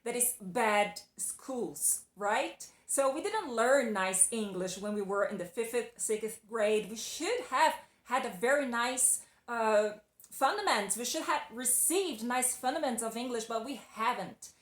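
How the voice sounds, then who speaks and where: A woman's voice: a little high, expressive, measured pace, roomy sound. A Brazilian woman with a Brazilian accent, speaking English as a second language, giving a chatty, encouraging English lesson. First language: Portuguese. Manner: chatty, encouraging, restarts phrases often. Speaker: English teacher. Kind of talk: casual video lesson.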